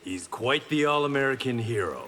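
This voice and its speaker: A man's voice: medium pitch, expressive, moderate pace, sharp tone, big-room sound, a little hiss or hum. An actor playing a stoic, dry-humored blind swordsman in a fighting game, terse and guarded. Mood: dry, weary. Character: stoic blind swordsman, reformed male criminal, proud and dry. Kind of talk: video game pre-fight banter.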